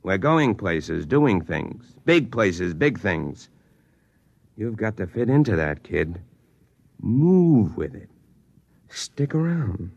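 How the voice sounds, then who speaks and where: Low dry voice, gruff older boss, cynical, folksy, patronizing, vintage radio play acting. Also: male voice, sing-song, takes it slowly, long pauses, a little mellow, no echo, lo-fi sound, exaggerated voice. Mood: fatherly warning. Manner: streetwise, folksy, lecturing. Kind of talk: 1950s science-fiction radio drama acting.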